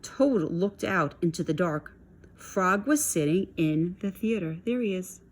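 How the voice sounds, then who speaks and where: A woman reads aloud to children at an unhurried pace. Her voice sits a little low and moves expressively up and down through the story. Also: expressive.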